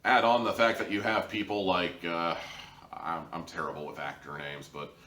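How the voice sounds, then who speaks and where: Low-voiced young man in an echoey room. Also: little pitch movement, normal speed, pushed and bright. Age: young adult. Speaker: reviewer, show host. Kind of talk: casual review monologue to camera. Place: small living room, indoors. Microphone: camera a few feet away. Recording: roomy echo, distant camera mic.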